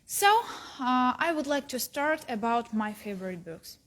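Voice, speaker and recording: A young woman, a university student whose first language is Russian, giving a careful, halting talk about books in English, with many pauses. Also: lively intonation, fairly bright tone. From Kazakhstan. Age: young adult. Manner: rises in pitch at the start of each new point. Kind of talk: recorded book talk.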